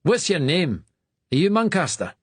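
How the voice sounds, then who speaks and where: A man voicing a severe male schoolmaster with a Scottish accent and a sharp, barking way of talking. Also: on the high side, very animated, normal speed, slightly rough, voice pushed up. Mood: sharp, scolding.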